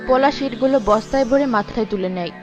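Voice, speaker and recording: A woman narrates a cartoon tale in a high voice. She reads at a quick pace. The sound is muffled, with music behind her. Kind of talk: scripted cartoon story narration.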